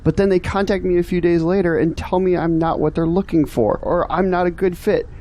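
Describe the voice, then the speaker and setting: A man's voice: sits high, fairly even intonation, brisk, mellow, natural voice. A man playing an earnest, discouraged young graduate in an audio drama, complaining about his troubles. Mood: frustrated. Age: young adult.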